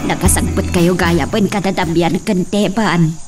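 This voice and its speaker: A woman's voice: fairly low pitch, steady tone, measured pace, recorded from a distance, over loud music, lightly acted. An actress playing an anxious middle-aged mother in a radio drama, pleading and worried, with a northern Philippine accent.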